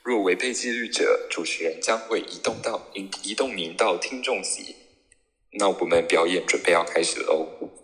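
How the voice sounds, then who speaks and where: A man's voice: deep voice, normal speed, a bit bright. A man hosting a show, reading a scripted introduction. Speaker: show host. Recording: echoey hall sound. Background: music.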